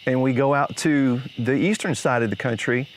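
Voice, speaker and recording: A man's voice: mid-range voice, moderate pace, a little hiss or hum. Man hosting a casual podcast. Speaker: podcast host.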